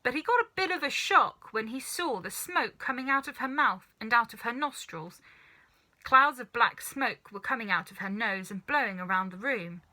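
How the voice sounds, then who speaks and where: A woman narrating a children's story in an expressive, scripted storytelling style. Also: a little high, moderate pace. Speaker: storybook reader.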